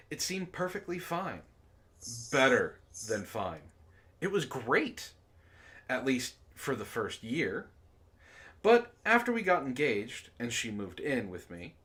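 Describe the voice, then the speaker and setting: Animated man hosting a playful group reading. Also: mid-range voice. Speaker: host of a group book-reading show. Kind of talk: casual chat and reading aloud to an audience.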